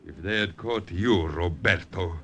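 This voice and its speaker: An actor playing a hard, vengeful partisan leader with a blunt, taunting manner in radio drama. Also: male voice, low-pitched, a little slow, sharp tone, husky, heavily acted. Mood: taunting, bitter. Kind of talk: 1950s radio drama acting. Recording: old radio recording with crowd noise.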